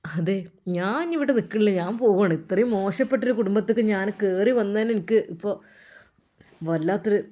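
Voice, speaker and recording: A woman voicing a scheming young husband, talking low and hushed. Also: a little fast, natural voice. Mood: indignant.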